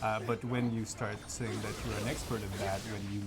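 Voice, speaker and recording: A man co-hosting a casual podcast stream, explaining his views in halting, earnest bits. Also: lowish voice, level tone, over music. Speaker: podcast co-host. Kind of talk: casual chat over gameplay.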